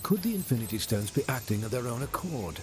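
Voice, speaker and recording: An actor playing a calm, precise male android who speaks in a formal, measured, literal way. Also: mid-range voice, natural voice.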